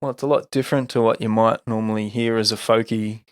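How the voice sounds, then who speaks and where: A man's voice: fairly low pitch, fairly even intonation, fast talker, clean tone, dry recording, studio quality. A man hosting a podcast, asking loose, easygoing questions in casual chat. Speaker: podcast host.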